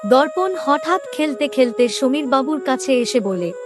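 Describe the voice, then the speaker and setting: A woman's voice: sits high, expressive, a little slow. A woman narrator reading a scripted family story. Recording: music under the voice.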